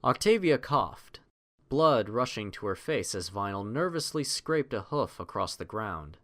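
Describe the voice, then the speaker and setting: A man reading a scripted story as narrator, in an expressive, literary delivery. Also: fairly low pitch, measured pace.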